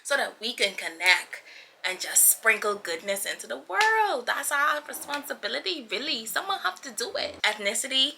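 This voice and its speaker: A woman's voice: on the high side, very animated, moderate pace, creaky, sharp tone, a little hiss or hum. A woman of twenty-seven from the Bahamas chatting casually to her viewers as a YouTuber, playful, effusive and slangy. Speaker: YouTuber, vlogger.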